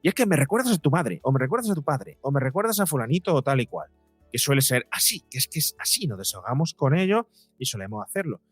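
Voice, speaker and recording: A man's voice: mid-range voice, sing-song, fast, husky, no echo, soft background music. A man speaking as an interview guest and psychologist, explaining in a conversational way and voicing imagined quotes to illustrate his points.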